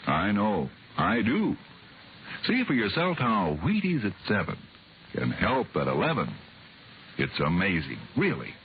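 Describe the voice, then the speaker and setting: A man's voice: on the low side, wildly swooping pitch, a little slow. An American man delivering a 1950s radio commercial in a folksy, emphatic, persuasive way. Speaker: commercial announcer. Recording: tinny old radio recording, faint hiss.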